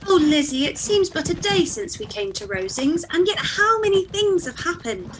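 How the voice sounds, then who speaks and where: A woman playing an excitable, naive young woman in a live-read radio play. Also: very high voice, moderate pace, slight background noise, rough recording. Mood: excited.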